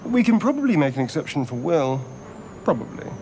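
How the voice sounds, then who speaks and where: An actor voicing a haughty, anxious vampire spawn on the run in a video game, theatrical and sardonic. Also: male voice, a little high, big pitch swings, brisk, background noise. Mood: tense, wry.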